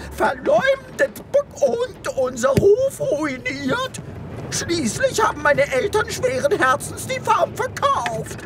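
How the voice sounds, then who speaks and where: A woman's voice: very high-pitched, background noise, full character voice. A woman playing a passionate, sentimental chicken creature with rebellious ideals in a comic sci-fi radio play. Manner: melodramatic, impassioned.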